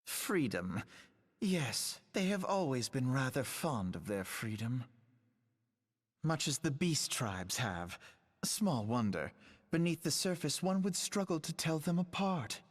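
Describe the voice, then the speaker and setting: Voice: mid-range voice, very animated, slow. An actor voicing an urbane, wry onlooker in a video game, speaking in a refined, sardonic, theatrical way. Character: urbane, wry onlooker commenting slyly on the proceedings. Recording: long hall reverb.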